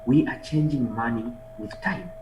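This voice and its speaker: A man from Uganda, giving a motivational conference talk over a video call, energetic and urging the audience on. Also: medium pitch, normal speed, highs cut off, noisy background. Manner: energetic, exhorts the audience with repeated calls. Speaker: guest speaker, online marketer and trader. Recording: echoey room with music behind.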